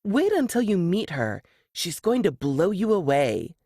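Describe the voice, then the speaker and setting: A woman's voice: lowish voice, very animated, a little slow, mellow, breathy edge, dry recording, studio quality, pitched above the performer's own voice, heavily acted. A woman voicing a friendly, easygoing female café worker in an audiobook story, casual and helpful. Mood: chatty. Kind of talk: audiobook character dialogue.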